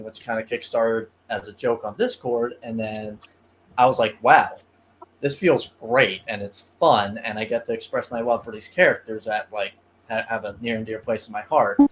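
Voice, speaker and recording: A man's voice: mid-range voice, a little hiss or hum. A man in his early twenties chatting on a podcast, hesitant, full of ums and uhs, a bit self-deprecating. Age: 20s. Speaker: guest cosplayer. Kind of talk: casual podcast chat.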